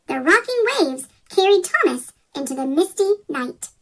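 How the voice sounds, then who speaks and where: A children's story reader with a pitch-shifted chipmunk voice, reading a picture book aloud from a script. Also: sing-song, normal speed, background noise. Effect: sped-up chipmunk pitch shift.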